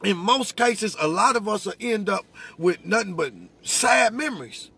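A man's voice: high-pitched, moderate pace, creaky, bright, forceful tone, husky. A middle-aged American man preaching off the cuff in conversation, emphatic and repetitive, as if testifying. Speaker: religious speaker. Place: inside a parked vehicle.

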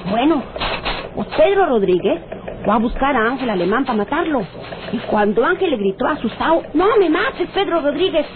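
An actress playing a spiteful rural Mexican tattler, telling her tale in an emphatic, folksy way for a radio serial. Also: sits high. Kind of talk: old radio serial acting. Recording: tinny, noisy old radio recording.

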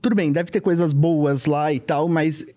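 A man's voice: on the high side, normal speed, dark tone. A young man in his twenties with a Brazilian accent, chatting informally and with slang as a podcast guest, close on a studio mic. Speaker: podcast guest, filmmaker and content entrepreneur. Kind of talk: casual interview chat, personal storytelling. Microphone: close studio mic on boom arm.

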